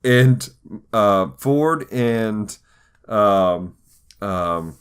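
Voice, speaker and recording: A man's voice: fairly low pitch, animated, drawn-out words, smooth. A man hosting a podcast, chatting casually in a jokey, easygoing conversational style. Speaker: podcast host. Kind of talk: casual chat.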